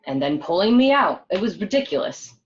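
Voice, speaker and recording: A woman's voice: fairly low pitch, sing-song, brisk. A woman playing an annoyed young woman, telling her story in an exasperated, matter-of-fact way. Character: annoyed young woman recalling a bad date. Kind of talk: dramatic table read, narrated recollection. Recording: dull lo-fi home call audio.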